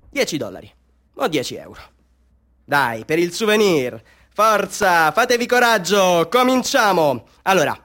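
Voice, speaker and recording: An actor playing a chatty, self-mocking Bolivian street photographer hustling tourists, with ingratiating, mock-grand patter in a theatrical radio monologue. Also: male voice, a little high, fairly bright tone. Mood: showy, hustling, then coaxing. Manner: showman patter, ingratiating, mock-grand, swinging into wry asides.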